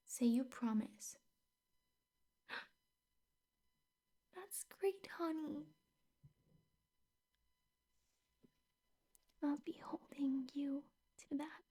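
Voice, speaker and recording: A young woman playing a possessive, sweetly menacing teacher girlfriend in an ASMR roleplay, speaking softly, intimately and often in whispers. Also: high voice. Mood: delighted. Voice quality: soft, breathy, often whispered. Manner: slow, intimate, coaxing with veiled threats.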